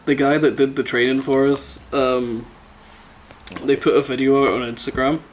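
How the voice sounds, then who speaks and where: A man's voice: mid-range voice, flat delivery, normal speed, a little hiss or hum, rough recording. A man hosting a casual podcast chat, talking in a sweary, teasing, bantering way. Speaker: podcast host.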